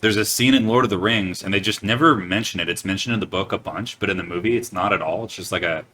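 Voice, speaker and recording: A man's voice: sits low, fast, pushed and bright, a little hiss or hum. A young man co-hosting a podcast, trading crude jokes, swearing casually and laughing often. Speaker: podcast co-host.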